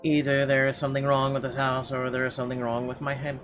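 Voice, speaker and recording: A man's voice: mid-range voice, monotone, quick pace. A man hosting a gaming video, reading visual novel narration aloud with conversational asides. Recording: game music under the voice.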